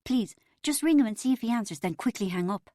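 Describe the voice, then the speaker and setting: A woman's voice: medium pitch, normal speed, a little mellow, no echo, clean studio sound, lightly acted. A woman voicing a lovelorn, bossy young woman, anguished and urgent, in an audiobook. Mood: begging.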